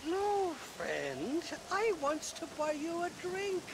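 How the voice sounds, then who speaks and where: Game role: slurring Irish drunk, slow, muddled and wheedling, over clear background noise. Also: male voice, very high voice, wildly swooping pitch, full character voice. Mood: drunkenly friendly. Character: drunken, evasive, sentimental Irish man. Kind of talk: video game cutscene acting.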